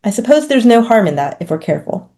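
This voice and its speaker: A woman's voice: on the high side, sing-song, fast talker, mellow. A woman author reading a scene of fiction aloud, with narration and dialogue. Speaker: author reading from own book. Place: indoors, on a video call.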